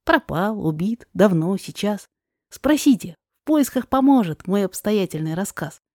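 A woman playing a courteous, solemn gentleman investigator in a rhymed audio drama, speaking formally and earnestly. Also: medium pitch, lively intonation, measured pace, dry recording, clean studio sound, pitched above the performer's own voice. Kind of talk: rhymed verse drama reading.

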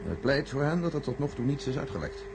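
A man playing a measured, secretive senior official in a science-fiction radio play, formal, deliberate and condescending. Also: medium pitch, very animated, vocal fry. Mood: grave, defensive. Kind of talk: radio drama acting. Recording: noisy old radio recording with hum.

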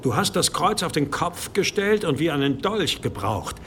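A man playing a stern, controlled monastery abbot who speaks gravely in a German audio drama. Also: a little high, very animated, fairly bright tone, a little hiss or hum. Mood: stern interrogation. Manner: grave, measured, questioning.

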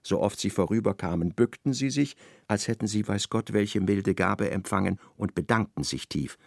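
A man narrating a literary radio play in a measured, precise storytelling style. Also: fairly low pitch, gravelly, dry recording, clean studio sound.

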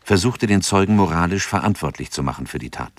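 An actor narrating a scripted radio drama in a sober, factual reporting manner. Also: male voice, low-pitched, lively intonation, quick pace, no room sound.

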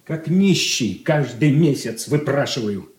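An actor playing a petty, self-important son-in-law in a radio drama, fussy, pompous and querulous. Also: male voice, mid-range voice, animated, measured pace, darkish tone, close to an everyday voice. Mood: aggrieved. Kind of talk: Soviet radio drama stage acting. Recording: echoey studio, distant from mic.

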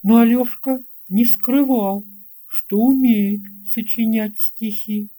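A narrator reading a children's tale in a measured, expressive storytelling manner. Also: mid-range voice, rough recording. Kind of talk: scripted audiobook narration of a children's fairy tale.

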